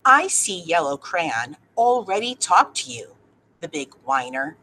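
Middle-aged woman, huffy storybook reading, animated, unhurried, faint room noise. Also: on the low side, low-quality recording. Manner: huffy, emphatic. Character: huffy, boastful orange crayon. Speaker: school staff member reading aloud. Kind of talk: dramatic children's storybook reading. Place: indoor office or classroom. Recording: clear background room noise.

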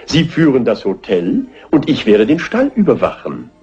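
Raspy, courtly, eccentric older gentleman. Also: mid-range voice, wildly swooping pitch, moderate pace, heavily acted. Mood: courtly, earnest. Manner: courtly, chatty. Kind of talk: 1960s crime film dub. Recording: muffled husky old film soundtrack with music.

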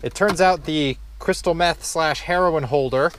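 A man's voice: a little high, a little slow, a bit bright, a little hiss or hum. A man giving casual, hands-on vlog commentary with dry, sarcastic humour and the odd swear word. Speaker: vlogger doing a car installation. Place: in and around a parked car in a driveway.

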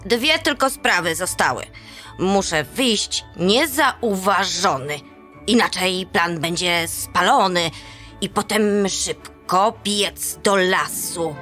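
A woman's voice: mid-range voice, bright, forceful tone. A woman voicing a small, homesick young male elf in a rhyming children's radio play, fretful and tearful. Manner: fretful, whiny rhyming complaints.

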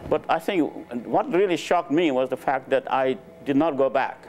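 An older man from Nepal, a native Nepali speaker, recounting his life in a relaxed, hesitant way with many fillers, on a lapel mic in a lecture room. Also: mid-range voice, moderate pace, a little hiss or hum. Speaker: interviewed scientist. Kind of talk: relaxed conversational storytelling. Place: lecture room with a small audience.

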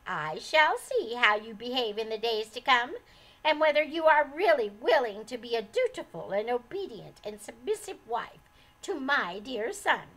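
A woman voicing a harsh, scornful old mother-in-law who speaks loudly and haughtily. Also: high-pitched, heavily acted, rough recording. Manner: snapping, haughty, loud.

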